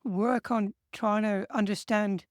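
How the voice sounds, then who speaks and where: A man from England with an English accent, co-hosting a casual chat and giving steady, earnest encouragement. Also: high-pitched, takes it slowly, no room sound, clean studio sound. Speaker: co-host.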